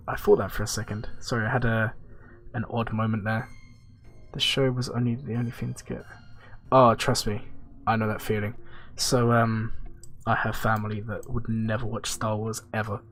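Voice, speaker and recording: A man with a blocked nose and a nasal voice, chatting casually on a gaming livestream and answering viewers' questions in a rambling, relaxed way. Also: on the low side. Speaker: streamer.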